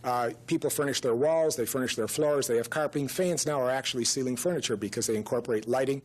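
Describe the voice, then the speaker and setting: A man's voice: medium pitch, fast talker. A man speaking as a company spokesman in an archival television interview. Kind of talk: news interview answer. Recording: archival TV interview, faint noise.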